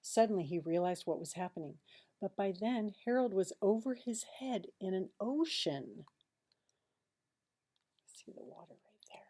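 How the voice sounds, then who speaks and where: A woman reads a children's picture book aloud like a teacher, expressively, with dramatic pauses and hushed asides. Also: mid-range voice, lively intonation, a little hiss or hum.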